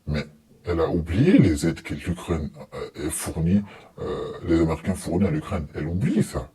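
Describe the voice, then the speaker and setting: A man with a low, dark-toned voice comments informally on what he is watching. He is blunt and openly exasperated, dismissing points in colloquial, opinionated speech. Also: animated, normal speed. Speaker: commentator reacting to a TV debate.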